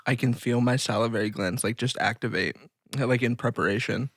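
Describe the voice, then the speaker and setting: A man's voice: medium pitch, a little fast, fairly bright tone. A man co-hosting a podcast in casual, slangy chat, enthusiastic about the things he likes. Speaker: podcast co-host. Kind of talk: casual chat between friends.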